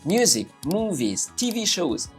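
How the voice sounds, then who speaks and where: A man's voice: sits high, measured pace, soft background music. A man from Egypt with an Egyptian Arabic accent, a native Arabic speaker, giving upbeat English-learning tips straight to his viewers. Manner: upbeat and animated, addressing viewers directly. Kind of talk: talking to camera, motivational tips.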